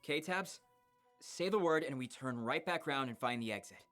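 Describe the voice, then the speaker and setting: An actor playing a dorky, considerate teenage boy villain in a visual novel, awkward and halting. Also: mid-range voice, lively intonation, a bit bright, soft background music, close to an everyday voice. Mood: friendly, concerned. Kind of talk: visual novel character acting.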